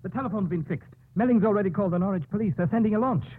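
Urgent, fast-talking, husky man. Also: sits high, no room sound. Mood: urgent, defiant. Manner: urgent, insistent, dramatic. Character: intense, determined man, urgent and commanding. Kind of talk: 1950s radio drama acting. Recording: dry, phone-like 1950s radio recording.

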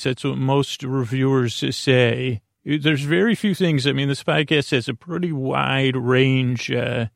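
A man with a creaky voice hosting a sleep podcast, delivering a meandering, halting bedtime monologue that trails off into tangents. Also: medium pitch, dry recording, studio quality. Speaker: podcast host.

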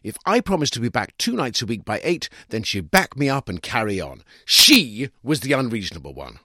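A man narrating a literary short story in the first person, with a dry, aggrieved, self-justifying and expressive delivery. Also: mid-range voice, fairly quick, no echo.

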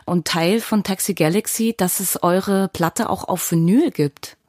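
A woman's voice: on the low side, measured pace, darkish tone, no echo. A woman hosting a podcast interview in a studio, speaking in a conversational way.

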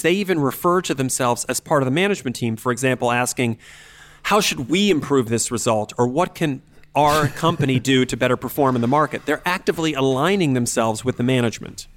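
A man speaking as an expert podcast host, explaining things in an articulate, analytical and measured way. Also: mid-range voice, lively intonation, a bit bright.

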